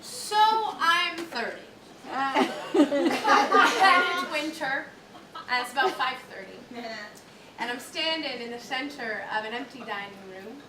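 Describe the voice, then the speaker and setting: A woman in her thirties performing a storytelling monologue on stage, laughing as she tells it and mimicking her customers. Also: background noise. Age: 30s. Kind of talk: theatrical monologue. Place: theatre stage with live audience. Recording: roomy theatre sound from a distance. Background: upright bass, audience laughter.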